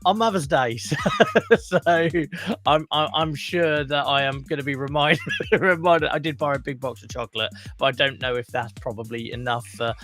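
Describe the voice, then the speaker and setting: A croaky man hosting a casual livestream talk show, chatting and greeting viewers by name. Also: mid-range voice, fairly bright tone.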